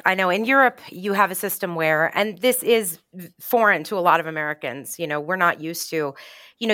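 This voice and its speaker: A woman speaking as a journalist guest in an interview, giving measured, analytical explanations. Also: lowish voice, fairly bright tone, no room sound, clean studio sound. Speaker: interview guest, journalist covering gun violence. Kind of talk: explanatory radio interview answers.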